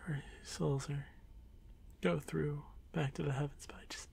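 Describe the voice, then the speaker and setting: A man voicing a shy, haunted drider monster boy in an ASMR fantasy roleplay, stammering softly and often slipping into breathy whispers. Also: fairly high pitch, level tone, measured pace, heavily acted. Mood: tearful, ashamed. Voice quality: soft, breathy, creaky.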